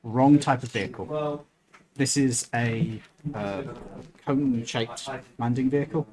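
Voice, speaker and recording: A man runs a tabletop game as its game master. His voice sits at an ordinary male pitch, and he moves between casual narration and banter. Other voices chatter clearly behind him. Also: a little slow.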